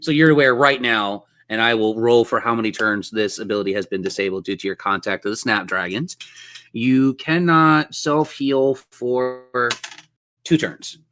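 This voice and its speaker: A man's voice: medium pitch, fairly even intonation, moderate pace, fairly bright tone. A man improvising dramatic, descriptive narration as game master of a tabletop roleplaying game.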